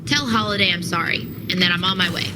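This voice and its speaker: A woman's voice: on the low side, fast, lightly acted. A woman playing a blunt, fiery sci-fi fighter in an audio drama. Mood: apologetic. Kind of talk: audio drama character acting. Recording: distant sound with noisy ambience.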